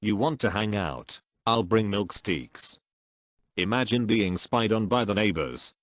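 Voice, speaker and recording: Man reading posts aloud, low voice, dry sound. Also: expressive, measured pace, fairly bright tone, dry recording. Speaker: narrator reading comments aloud.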